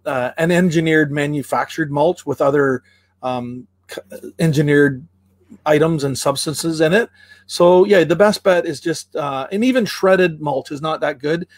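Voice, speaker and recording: A man from Canada explaining tree care in a casual chat, relaxed and full of fillers and restarts, with playful comparisons. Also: fairly high pitch, no room sound. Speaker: tree care expert guest.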